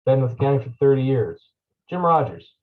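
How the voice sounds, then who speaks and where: A man's voice: medium pitch, sing-song, brisk, phone-quality sound. A man who is a deputy county administrator, giving a loose presentation at a public meeting with many ums and uhs. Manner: frequent fillers, loose and conversational.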